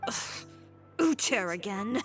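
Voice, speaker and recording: A woman's voice: medium pitch, big pitch swings, slow, over music. A woman voicing a proud, self-assured young designer in a video game, composed, curt and dry. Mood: irritated.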